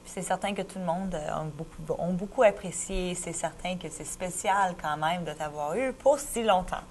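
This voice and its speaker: A woman's voice: fairly low pitch, moderate pace, a little mellow, slight background noise. A young woman interviewing a guest, mostly giving short agreeable replies and asking questions. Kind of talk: interview host, brief affirmations. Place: small bright indoor studio at a table.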